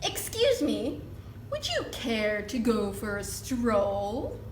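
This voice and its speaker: A woman voicing a sly, courteous male fox from a storybook, with formal, smooth invitations. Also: fairly high pitch, huge pitch swings, takes it slowly, heavily acted. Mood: sly, inviting. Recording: roomy echo with background noise.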